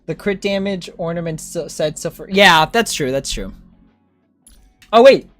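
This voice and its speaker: A casual game streamer who thinks out loud and talks with chat in an informal, animated way. The pitch moves around a lot. The sound is dry, with faint music underneath. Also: measured pace, no room sound. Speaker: game livestreamer. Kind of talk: casual gaming stream chat, thinking aloud and replying to viewers.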